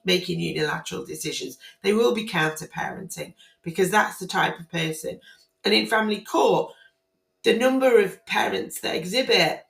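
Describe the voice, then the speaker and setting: A woman with a croaky, hoarse voice, speaking casually and emphatically to her viewers about family court matters, often repeating herself and restarting phrases. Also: medium pitch, a bit bright. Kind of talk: casual spoken commentary on guidance to camera. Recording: roomy echo.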